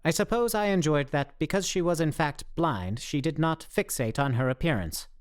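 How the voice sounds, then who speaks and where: A woman's voice: deep voice, expressive, moderate pace. A woman playing a pedantic, self-important zombie theorist in a radio play, with formal, wordy diction. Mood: awkwardly formal.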